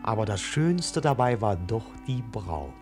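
An actor playing a wry storyteller narrating a bawdy tale in a 1940s radio play. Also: male voice, fairly low pitch, very animated, measured pace, music in the background. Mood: admiring. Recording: vintage 1940s radio recording.

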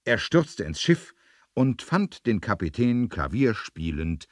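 Male narrator, low voice, lively intonation, suspenseful emphasis, vintage adventure radio play, dry close sound. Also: slow, no echo. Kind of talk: dramatic scripted storytelling for 1970s adventure radio play.

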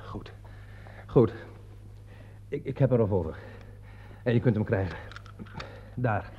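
Husky, polite man under suspicion. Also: fairly low pitch, expressive, slow pace, heavily acted. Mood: panicked. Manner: polite, hesitant, slightly formal. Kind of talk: radio play acting. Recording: muffled, dull old radio play recording.

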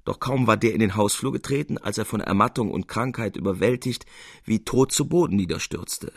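Deep, gravelly male literary narrator. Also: dry recording, studio quality. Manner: measured, expressive storytelling.

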